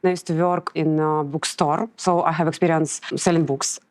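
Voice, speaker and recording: A woman's voice: sits low, steady tone, normal speed, a little mellow. A woman in her thirties with a Ukrainian accent, giving hesitant interview answers. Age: 30s. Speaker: job applicant.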